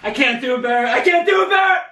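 A man's voice: extremely high pitch, animated, fast talker, bright, forceful tone, pitched above the performer's own voice, full character voice. A man acting as a panicking, hysterical young man who claims he is dying, in a strained, breathless voice for a home prank video. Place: living room, indoors. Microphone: camera across the room. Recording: echoey room, far from camera.

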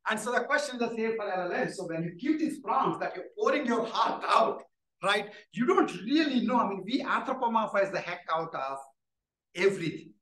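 A man giving a keynote talk, his voice high and bright. He is lively and chatty, often asking "right?". He was recorded from some distance in an echoey hall. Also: brisk. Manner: animated, tells jokes, frequent "right?" and "you know". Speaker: keynote speaker, professor. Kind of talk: lecture with audience questions. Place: lecture hall. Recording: echoey hall, speaker off-mic.

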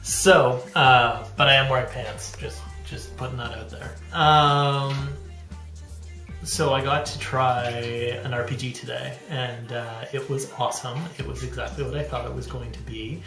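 A man's voice: medium pitch, steady tone, measured pace, gravelly, echoey room, over music. A man in his thirties chatting casually to camera as a dad vlogger, rambling and self-deprecating, recorded on a handheld camera in a small bathroom. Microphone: handheld camera at arm's length.

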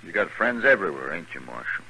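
An actor playing a bitter, vengeful ex-convict in a 1950s radio western, with a folksy, menacing drawl. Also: male voice, low-pitched, very animated, quick pace, pushed and bright, full character voice. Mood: bitter, guarded. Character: bitter, vengeful ex-convict gunman of the Old West. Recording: noisy old 1950s radio recording.

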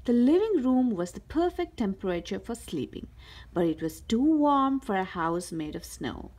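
A woman reading a children's picture book aloud as a storyteller, with careful, expressive delivery. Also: on the high side, moderate pace. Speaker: host of a read-aloud story channel.

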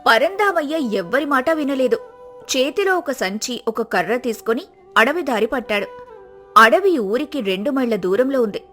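A woman narrates a scripted moral tale in a fairly high voice. She works as the storyteller between dramatized scenes. Faint music plays behind her. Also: animated, normal speed, fairly bright tone.

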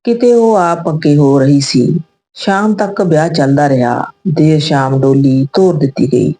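Low, mellow woman storyteller. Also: unhurried, husky. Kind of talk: scripted narration of an emotional moral story.

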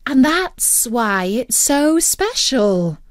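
A woman's voice: high-pitched, very animated, no room sound, studio quality, voice pushed up, heavily acted. Woman doing a stern scolding dog. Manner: scolding, deliberate. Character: stern, bossy female dog, a scolding friend. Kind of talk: children's storybook reading.

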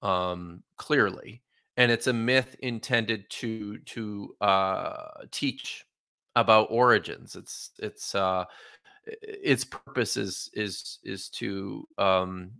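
A lowish, bright and forceful male voice, a scholar in his forties answering questions on a video call. He speaks with careful pauses and frequent restarts, mic close in a book-lined home study. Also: gravelly. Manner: thoughtful, many restarts and fillers. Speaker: biblical scholar guest. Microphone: studio mic on video call.

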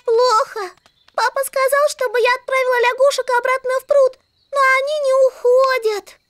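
A woman's voice: extremely high pitch, steady tone, moderate pace, a little hiss or hum. A woman voicing an eager, playful little fairy princess girl in a children's cartoon dub. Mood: upset. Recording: children's music behind the voice.

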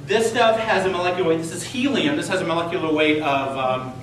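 High-voiced man in a noisy echoey room. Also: fast, a bit bright. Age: middle-aged. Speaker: science teacher. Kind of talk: informal science demonstration. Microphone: camera mic from a few meters away. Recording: echoey room, distant camera mic, noisy.

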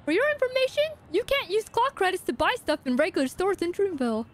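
A woman's voice: very high-pitched, big pitch swings, fast talker. A woman voicing a chummy, boastful clock mascot from a game in a theatrical, sing-song way. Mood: reproachful.

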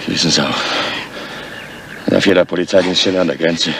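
An actor playing a tough, suspicious criminal on the run in a radio crime drama, talking in a curt, sarcastic, menacing way. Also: male voice, low voice, steady tone, brisk, gravelly. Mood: bitter, agitated. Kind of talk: 1970s German crime radio drama acting. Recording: noisy old radio drama recording.

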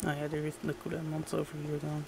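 A man with a fairly high, creaky voice talks casually over a game. He mutters to himself offhandedly, with little rise or fall. The recording has clear background noise. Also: completely flat delivery, rapid-fire. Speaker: gamer recording audio logs. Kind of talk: casual gameplay commentary.

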